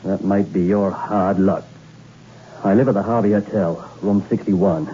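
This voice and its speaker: Dogged, mellow-voiced radio investigator. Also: male voice, sits low, steady tone, measured pace, dull audio. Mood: pressing. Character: dogged, hard-boiled man investigator named Logan. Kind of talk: old-time radio crime drama acting. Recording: hissy, band-limited old radio broadcast recording.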